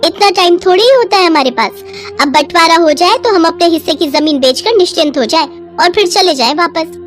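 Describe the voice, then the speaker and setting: A woman's voice: extremely high pitch, animated, fairly quick, soft background music. A woman voicing a greedy, scheming sister-in-law in a moral story, pushy, scornful and complaining. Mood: dismissive, insistent. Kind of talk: Hindi moral story character acting.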